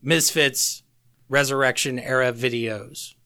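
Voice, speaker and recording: A man's voice: mid-range voice, fairly even intonation, slow, pushed and bright. A man co-hosting a music podcast in casual, sweary, exasperated banter. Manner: profane, exasperated bickering. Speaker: podcast co-host.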